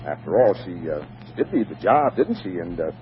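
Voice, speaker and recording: A man's voice: sits low, sing-song, brisk. An actor playing a stuffy, easily flustered businessman who hems and stammers, in a 1940s radio comedy on a muffled, noisy recording. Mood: flustered, yielding. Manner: prim, hemming, stammering. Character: stuffy, easily flustered businessman, rigid but soft-hearted.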